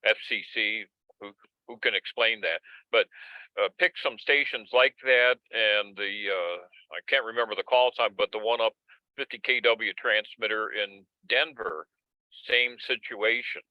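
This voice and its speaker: A man's voice: on the low side, a little slow, no room sound. A man talking informally in a group teleconference, heard through lo-fi call audio. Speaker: meeting participant. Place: remote teleconference.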